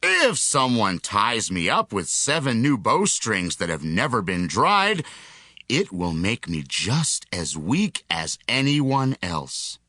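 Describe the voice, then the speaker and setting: An actor playing a strong, teasing biblical hero in an audio drama, with a drawn-out, deliberate delivery. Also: male voice, fairly low pitch, huge pitch swings, sharp tone, dry recording, clean studio sound, full character voice. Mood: slow, teasing. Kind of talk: audio Bible drama acting.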